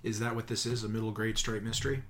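A low-voiced man hosting a book podcast, talking in a relaxed, halting way full of little fillers. Faint noise hums behind him. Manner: relaxed, hesitant with many uh fillers. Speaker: podcast host. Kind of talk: interview podcast.

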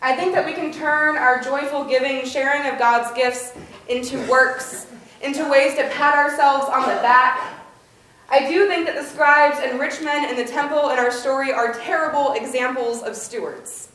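A woman's voice: sits high, moderate pace, fairly bright tone. A woman pastor delivering a prepared sermon in a church. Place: church, indoors, reverberant. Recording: very echoey church sanctuary.

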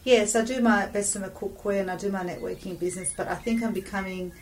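A woman from Australia in casual chat about her drawing, reflective and halting, laughing often. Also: mid-range voice, fairly even intonation, darkish tone. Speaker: business owner talking about her drawing. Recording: distant mic with faint background noise.

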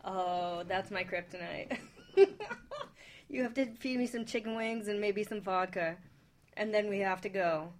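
A woman's voice: medium pitch, a little slow, long pauses. A woman on a radio panel chatting casually in a playful, cheeky manner. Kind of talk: casual chat.

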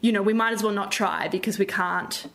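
Reflective woman, mid-pitched voice. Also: steady tone, brisk, a bit bright. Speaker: podcast guest, theatre director. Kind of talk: conversational interview answer.

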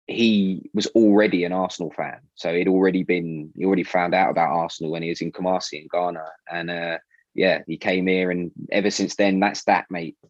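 A man with a South London accent chatting casually about his radio show, animated and full of fillers and restarts. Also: low-pitched, fairly quick, no echo, lo-fi sound. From South London, England. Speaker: radio presenter, guest. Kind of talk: casual interview chat.

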